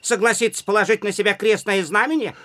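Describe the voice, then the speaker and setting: An actor playing a cautious, fussily pious village clerk in a radio play, wordy, measured and officious. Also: male voice, high voice, fairly even intonation, sharp tone, a little hiss or hum. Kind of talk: theatrical radio-play acting.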